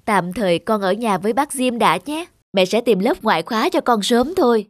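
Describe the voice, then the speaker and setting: A woman playing a friendly, busy young mother in a children's cartoon dub, warm and reassuring. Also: a little high, animated, moderate pace, no echo, studio quality.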